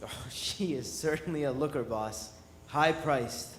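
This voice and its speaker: A man's voice: medium pitch, a little slow, lightly acted. A man playing a deferential henchman to a scheming boss in a radio drama. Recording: echoey hall, distant, background noise.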